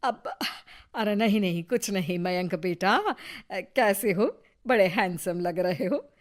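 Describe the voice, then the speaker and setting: A sly middle-aged neighbour auntie in an animated tale, acted by a woman. She is disapproving and snide under a sweet surface, speaking slowly at a middling pitch. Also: natural voice. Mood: mock-sweet, taunting. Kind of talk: animated story acting.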